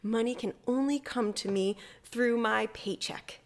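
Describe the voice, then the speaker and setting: A woman's voice: on the high side, measured pace. A woman hosting a casual chat in an informal, goofy way, with slangy talk peppered with "like". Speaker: host.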